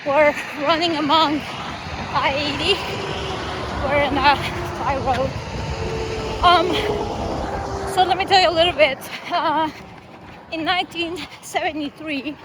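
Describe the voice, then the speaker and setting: A woman talks in relaxed, chatty bursts while she jogs beside a highway. Her voice sits high. Clear traffic noise runs behind her outdoors. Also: unhurried, long pauses. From Chile. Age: 50s. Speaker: vlogger host. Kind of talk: casual chat while running.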